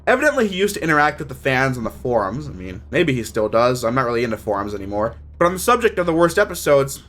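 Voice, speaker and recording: A man's voice: medium pitch, sing-song, fast, a bit bright. A young man narrating a scripted but casual video review in an informal, opinionated way.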